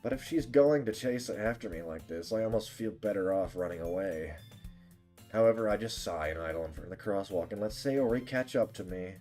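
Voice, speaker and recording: Low voice, lively pitch swings, playful rambling game streamer, mock-dramatic, music behind. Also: a little fast. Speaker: livestreamer. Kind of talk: casual gaming stream, reading game text aloud.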